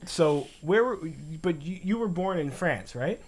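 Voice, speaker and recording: A man's voice: sits high, animated, measured pace, darkish tone. A man from New York co-hosting a casual interview over a video call, asking questions in an easygoing, chatty way. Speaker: co-host.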